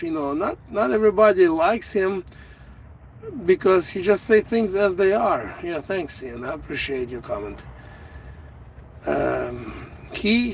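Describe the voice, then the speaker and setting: A middle-aged man from Ukraine talks with a Russian accent, his native tongue showing through. He speaks very slowly and haltingly, restarting his sentences, in a somewhat high voice. It sounds like a phone recording from inside a vehicle, with a faint hum behind him. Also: a bit bright, poor audio. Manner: slow and halting, many fillers and restarts. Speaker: YouTube live streamer. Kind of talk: casual live-stream chat, reading out viewer comments. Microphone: phone without an external microphone.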